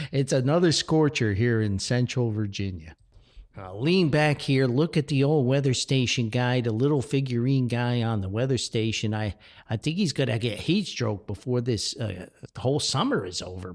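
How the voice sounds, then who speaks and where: A man hosting a solo podcast in a folksy, rambling, jovial way, full of exclamations. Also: medium pitch. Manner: jovial, chuckles often. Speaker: podcast host. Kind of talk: chatty solo podcast with sponsor reads.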